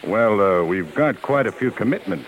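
A man's voice: lowish voice, animated, normal speed, lightly acted, poor audio. An actor playing a proud, guarded male nightclub singer in a 1950s radio drama, on an old broadcast recording.

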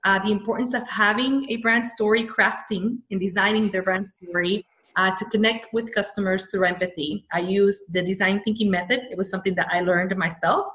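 A woman's voice: medium pitch, steady tone, a bit bright. Woman from venezuela chatting on a podcast, upbeat, lots of uhs, roomy echo. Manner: upbeat, talks quickly with fillers and restarts. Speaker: guest, brand storyteller. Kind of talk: podcast interview. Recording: echoey room.

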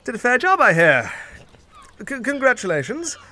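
A sour, jealous British undertaker in a radio-style comedy. His voice is high and piercingly sharp, swinging wildly as he splutters in exasperation. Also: huge pitch swings, normal speed, exaggerated voice. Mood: bitter, flustered. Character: sour, jealous, indignant male funeral director, easily flustered. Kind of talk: audio sitcom acting.

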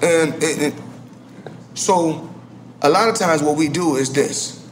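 A boastful man rambles through casual talk, tripping over restarts. His voice sits a little high. A faint noise hangs under the recording. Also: takes it slowly. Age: 40s. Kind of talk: casual podcast chat.

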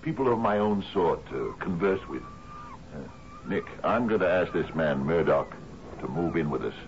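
A man's voice: low voice, huge pitch swings, normal speed, heavily acted. An actor playing a genial but domineering sea captain in a radio drama, hearty and lordly, repeating his phrases. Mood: delighted, insistent. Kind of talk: old-time radio drama acting. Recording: muffled, noisy old radio broadcast.

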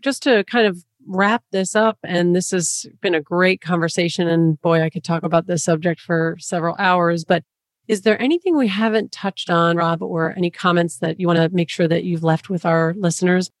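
A woman's voice: lowish voice, fairly even intonation, normal speed, no room sound, clean studio sound. Upbeat woman hosting a podcast. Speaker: podcast host. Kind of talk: podcast interview.

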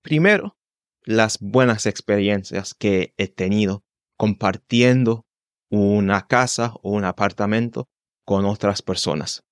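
A man's voice: fairly low pitch, measured pace, smooth, no room sound, clean studio sound. A man in his twenties hosting a solo podcast, speaking careful, unscripted learner's Spanish with small restarts. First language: English. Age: 20s. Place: home in London.

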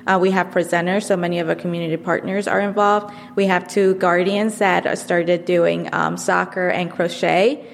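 A woman in her thirties, an after-school program coordinator, answering interview questions in a measured way with frequent fillers. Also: lowish voice, fairly even intonation, gravelly. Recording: long hall reverb, faint noise.

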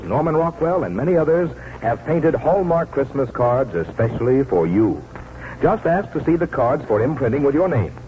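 A man's voice: medium pitch, a little hiss or hum. A man announcing in polished, formal 1940s radio commercial style, reading from a script. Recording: tinny 1940s radio, faint music.

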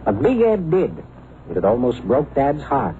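A man's voice: medium pitch, huge pitch swings, a little slow, dark tone. A man playing a chatty, shrewd neighborhood bookie with clipped, breezy patter in a vintage radio drama. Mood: matter-of-fact. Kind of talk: 1950s radio drama acting. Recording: muffled, hissy old radio recording.

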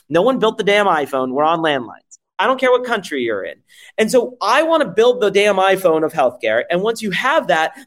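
Fast, animated man pitching emphatically. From France. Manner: emphatic, profane, rhetorical questions. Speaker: startup founder guest. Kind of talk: energetic interview pitch.

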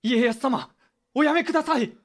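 Casting: grief-stricken old-world tea master, raised pitch, formal and archaic, period radio play. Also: male voice, normal speed. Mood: grieving, pleading. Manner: formal archaic samurai-era speech. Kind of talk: Japanese period audio drama acting.

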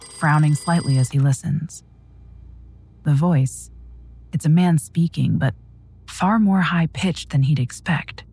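A woman's voice: low voice, a little mellow, husky. A woman hosting a true crime podcast, reading a scripted, dramatic story.

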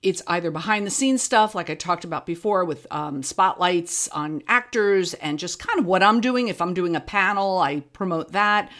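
A woman's voice: lowish voice, expressive, normal speed, hardly any pauses, fairly bright tone. A woman speaking casually as an interview guest, explaining her creative work in a conversational way.

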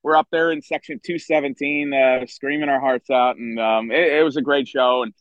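A middle-aged man, a baseball writer guesting on a podcast, chatting enthusiastically outdoors with a hoarse voice and many restarts. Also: medium pitch, fairly even intonation, moderate pace, fairly bright tone, no room sound, highs cut off. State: hoarse from screaming at a concert. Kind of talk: casual podcast chat. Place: outdoors by a river.

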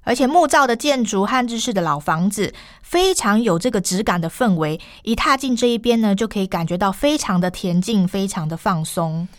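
A woman's voice: medium pitch, expressive, a little fast, few pauses, dry recording, clean studio sound. A woman with a Taiwanese Mandarin accent co-hosting a radio program in a prepared, conversational way.

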